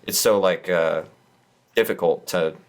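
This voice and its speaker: A man's voice: low voice, a little slow. A man talking casually as a podcast guest, easygoing and often with a light laugh in his words.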